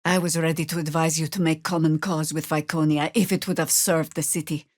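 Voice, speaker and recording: A seasoned, battle-worn heroine from a fantasy video game. She is a middle-aged woman with a fairly low voice and a wry, measured, dramatic delivery. The sound is very dry, with no room around it. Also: flat delivery, dry recording. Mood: stern, earnest. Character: seasoned, wry female adventurer, battle-worn mother and druid. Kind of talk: video game character dialogue and spell calls.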